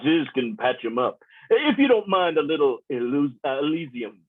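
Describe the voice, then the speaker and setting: A man's voice: fairly high pitch, lively intonation, moderate pace. A man playing a swaggering, laid-back alien frog outlaw in a comedy script read, cocky and slangy, over a muffled, narrow connection. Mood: breezy, cocky. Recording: muffled, phone-like narrow sound.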